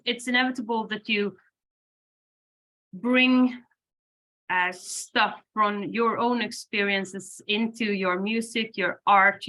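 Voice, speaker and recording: A Swedish-native woman talks slowly about her songwriting, giving thoughtful answers full of hesitations and light laughs. Her voice is bright and forward. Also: medium pitch, poor audio. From Sweden. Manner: thoughtful, hesitant with many fillers, laughs often. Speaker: band singer and lyricist. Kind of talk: interview answers.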